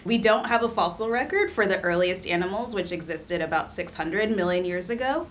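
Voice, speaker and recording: A woman's voice: on the low side, expressive, a little fast. A young woman from Rwanda talks through her research as a postdoc guest, patient and explanatory with many small ums. The audio is a little dull, with a faint hiss underneath. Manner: explanatory, with frequent ums and uhs. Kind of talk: conversational science interview.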